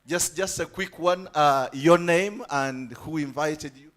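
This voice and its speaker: A man's voice: a little high, takes it slowly, fairly bright tone. A man hosting a church event, passing the mic around and teasing the crowd in a jovial way.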